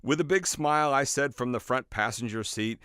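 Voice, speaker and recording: A man reading his own nonfiction book as scripted narration, in a measured, expressive storytelling way with emphatic phrasing. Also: mid-range voice, clean tone, no echo, clean studio sound. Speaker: author reading own audiobook.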